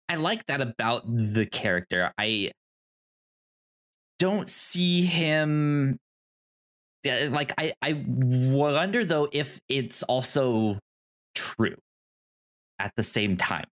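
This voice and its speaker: A man co-hosting a podcast, casually discussing films in a halting way, circling back over his words. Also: mid-range voice, animated, fairly bright tone. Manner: hesitant, halting, restarts and repeats words. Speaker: podcast co-host.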